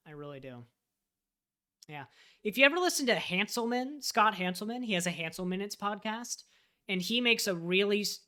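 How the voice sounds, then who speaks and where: A man's voice: high voice, bright, forceful tone. A man from the United States talking casually on a livestream, thinking aloud as he fills out a form. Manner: chatty, thinking aloud while filling out a form. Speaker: live streamer and web developer.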